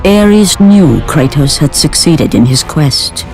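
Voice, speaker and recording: A man's voice: on the high side, expressive, takes it slowly, faint music behind. A man narrating an epic game story in a grave, dramatic voice. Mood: solemn. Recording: game cutscene with loud score.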